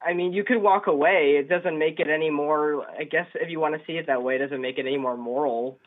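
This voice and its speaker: An eighteen-year-old man from upstate New York calling a radio show on a phone line, debating casually in a hesitant, halting way. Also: fairly high pitch. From upstate New York, United States. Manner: hesitant, halting, stammering restarts. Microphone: telephone. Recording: narrow, tinny phone line.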